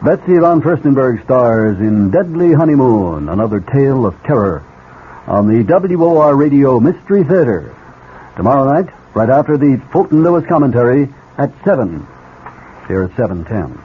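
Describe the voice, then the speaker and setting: A man's voice: mid-range voice, sing-song, moderate pace, slightly rough. A man reading scripted station announcements as a radio announcer, polished and formal. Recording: tinny old radio, faint noise.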